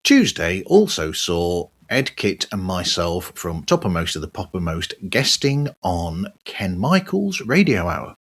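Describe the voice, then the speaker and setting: A man hosting a podcast monologue in a personal style, dropping wry, sardonic asides as he goes. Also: sits low. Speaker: podcast host.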